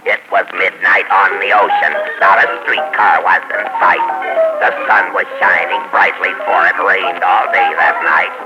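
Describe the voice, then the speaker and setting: A man's voice: low voice, steady tone, normal speed, muffled recording, loud music behind the voice, voice pushed down, close to an everyday voice. A man voicing a mischievous little male gremlin who teases and cracks nonsense jokes in a 1940s radio comedy. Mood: singing silly. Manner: teasing, interrupting with nonsense jokes.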